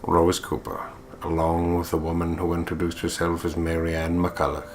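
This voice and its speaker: A man reading visual novel text aloud as a deliberate, storyteller-like narrator. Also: low voice, monotone, very noisy. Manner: deliberate, storyteller-like, playful putting on of voices. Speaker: gaming video co-player reading the text aloud. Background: game music.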